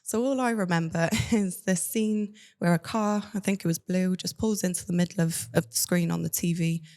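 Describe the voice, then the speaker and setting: A woman's voice: lowish voice, fairly even intonation, moderate pace, a little mellow. A young woman from Cardiff with a Welsh accent, telling her life story to an interviewer in a candid, matter-of-fact way. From Cardiff, Wales. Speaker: guest.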